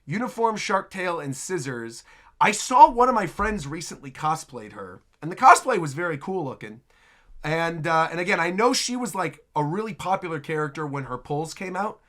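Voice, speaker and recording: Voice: mid-range voice, moderate pace. A host reacting to game trailers in casual, exclamatory commentary, talking half to themself as they watch. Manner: animated, exclamatory, talks to self.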